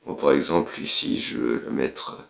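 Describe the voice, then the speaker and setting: A man's voice: a bass voice, normal speed, gravelly. A man, who has a cold, giving casual, blunt let's play commentary as a gaming YouTuber and swearing offhandedly.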